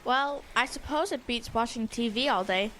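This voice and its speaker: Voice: medium pitch, normal speed, pushed and bright, lightly acted. A young performer playing a laid-back, slangy kid in a radio drama. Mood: casual, protesting. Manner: slangy, casual. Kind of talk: youth radio drama acting. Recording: noticeable background noise.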